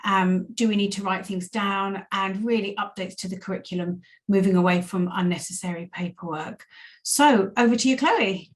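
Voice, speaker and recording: Brief: woman, mid-pitched, upbeat webinar co-host reading an introduction. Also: normal speed. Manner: upbeat, list-reading, many fillers.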